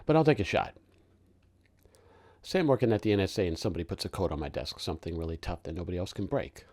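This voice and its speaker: A man performing a sharp-witted, defiant young working-class man in a sardonic, colloquial theatrical monologue. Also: low-pitched, very animated, quick pace. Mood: sarcastic, defiant. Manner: sardonic, colloquial, mounting rant.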